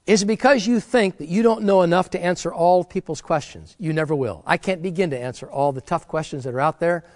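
A man's voice: fairly high pitch, dry recording, studio quality. A middle-aged man preaching a sermon, earnest and exhorting, often restarting his words as he talks. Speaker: pastor.

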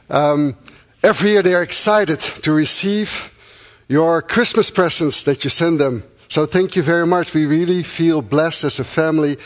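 A middle-aged Dutch-accented man speaks to a supporting congregation in an earnest, grateful way, pausing now and then with fillers. His voice sits a little higher than most men's. He talks as a missionary. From Netherlands. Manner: earnest and thankful, with pauses and fillers. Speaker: missionary church planter.

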